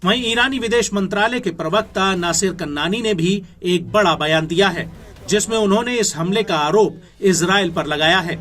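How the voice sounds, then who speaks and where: A man's voice: high-pitched, normal speed, noisy background. A man with an Indian accent reading scripted news narration in an emphatic, formal newsreader delivery. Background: background music.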